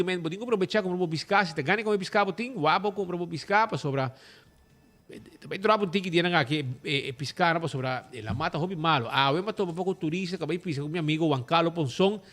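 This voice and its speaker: A man with an Aruban accent hosts a casual radio show, talking in a lively, rambling way and slipping between languages. His voice sits high for a man. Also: fairly quick. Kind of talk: casual radio talk with ads.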